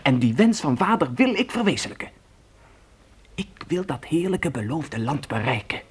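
A man's voice: on the high side, very animated, moderate pace, slight background noise. A man playing a determined, commanding adolescent pioneer boy in a radio play, speaking with earnest urgency. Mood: fiercely determined. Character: determined adolescent pioneer boy leading his siblings westward.

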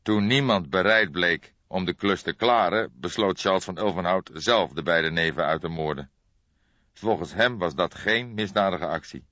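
A man voicing a weary, reflective old detective who speaks in a deliberate, solemn way in an audiobook. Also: deep voice, sharp tone. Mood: grave. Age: older. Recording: boxy, echoey, dull recording.

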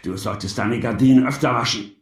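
An actor playing a grumpy old grandfather in an audio drama, pompous and quick to find fault. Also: mid-range voice, natural voice.

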